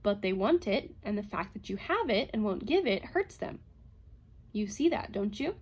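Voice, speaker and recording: A woman's voice: medium pitch, sing-song, normal speed, darkish tone, slightly rough. A woman voicing a commanding female robot psychologist in an audiobook, insistent and methodical. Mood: pressing. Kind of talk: dramatized audiobook reading.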